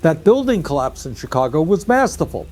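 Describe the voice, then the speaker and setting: Rebel coalition leader, audio drama: high voice, wry, cynical schemer. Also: male voice, very animated, a little fast, darkish tone, soft background music. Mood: smug amusement.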